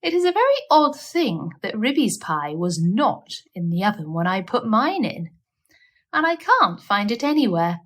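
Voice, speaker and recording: A woman's voice: medium pitch, very animated, normal speed. A woman voicing a scheming, self-satisfied, genteel female little dog in a storybook, with a ladylike manner. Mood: puzzled.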